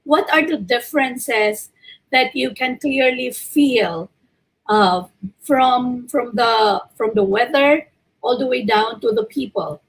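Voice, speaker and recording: A Filipino woman hosts an online chat show in a high voice. She talks slowly and politely, drawing her guest out. She moves easily between Tagalog and English. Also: breathy edge, poor audio. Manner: courteous, deliberate, inviting. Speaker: talk show host. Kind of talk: hosting an online interview, mixing Tagalog and English.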